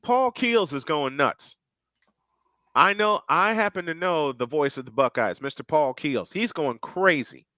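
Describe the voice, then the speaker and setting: A man calling a live football stream play by play, his tone bright and a little raised in pitch. He gets excitable and climbs high when a big run breaks. The sound is close and dry. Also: unhurried, no room sound. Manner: excitable, rises sharply on big plays. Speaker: play-by-play commentator. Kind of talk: live game commentary with banter.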